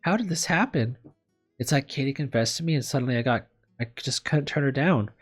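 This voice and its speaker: A man's voice: medium pitch, animated, brisk, slightly rough, pitched above the performer's own voice. A man voicing an anxious, self-doubting young woman teacher sick in bed in a visual novel, hesitant and self-pitying, with music behind. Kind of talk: visual novel read aloud. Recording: background music under the voice.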